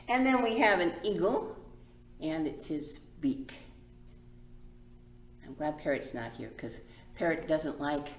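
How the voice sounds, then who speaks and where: A woman's voice: low-pitched, vocal fry. A woman hosting a children's storytime as a librarian, presenting and reading aloud in simple, demonstrative phrases for small children. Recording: echoey room.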